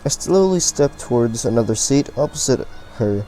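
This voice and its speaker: A man's voice: mid-range voice, expressive, moderate pace, warm tone, faint music behind, poor audio. A man reading a visual novel aloud, often stumbling and restarting words. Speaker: let's play reader.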